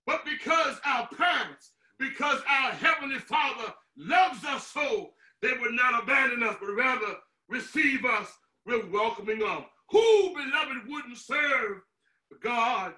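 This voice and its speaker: A pastor in his sixties delivers a sermon in a very high, piercingly bright male voice. He is emphatic and declamatory, breaking his phrases with deliberate pauses. The sound is roomy, picked up some way from the microphone. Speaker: pastor preaching. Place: small room at home. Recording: roomy echo, distant microphone.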